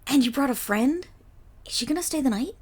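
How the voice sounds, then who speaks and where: A woman voicing an excitable, affectionate young doggirl in an ASMR roleplay, with an eager, bubbly manner and a breathy, half-whispered voice. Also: sits high, fairly quick. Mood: excited, eager.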